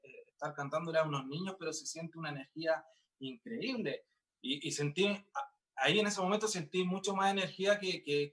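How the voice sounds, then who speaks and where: A man's voice: a little high, bright, forceful tone. A young man, a singer chatting in an interview, upbeat and full of fillers and restarts. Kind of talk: casual interview chat.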